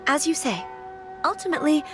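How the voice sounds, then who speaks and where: Game role: a refined, earnest young lady, high and slow with expressive swings in pitch. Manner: polite, hesitant, refined. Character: gentle, earnest young noblewoman, betrothed and uncertain. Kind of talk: video game voice acting.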